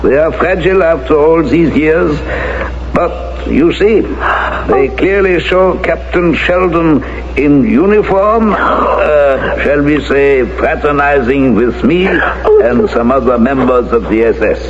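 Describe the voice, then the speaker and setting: An old German ex-officer, condescending and taunting, in a radio drama. His accented voice is rather high for a man and very animated, with formal, halting phrasing. The old radio recording is dull and noisy. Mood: mocking, contemptuous. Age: older.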